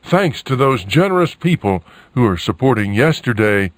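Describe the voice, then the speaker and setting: A man hosts radio in an animated, mid-pitched voice, close and dry. He chats off the cuff, folksy and meandering, with exclamations sprinkled in. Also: moderate pace, no echo. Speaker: radio host. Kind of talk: unscripted radio chat.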